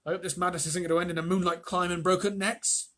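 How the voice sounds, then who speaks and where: A man reading aloud and voicing a grumpy, gruff male dwarf soldier who talks low and blunt. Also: flat delivery, fast talker, fairly bright tone. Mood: grumbling.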